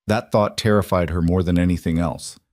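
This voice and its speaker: A man narrates dramatic romance fiction in a low voice. The sound is dry, with no room around it, and the delivery is that of a scripted audiobook. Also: steady tone, brisk, darkish tone, no echo.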